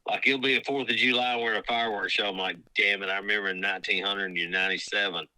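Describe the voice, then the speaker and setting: A man's voice: fairly low pitch, steady tone, fast, sharp tone, poor audio. A man, co-hosting a podcast and joking in a playfully dramatic way. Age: 20s. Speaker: podcast co-host. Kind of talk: casual chat.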